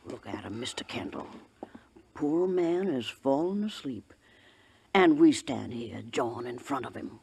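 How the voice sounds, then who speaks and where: An actress playing a big, brassy frontier saloon keeper in a 1950s radio Western, blunt and folksy, doting but explosive. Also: female voice, very deep voice, big pitch swings, a little slow, vocal fry, a little mellow, breathy edge, exaggerated voice. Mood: tender, doting.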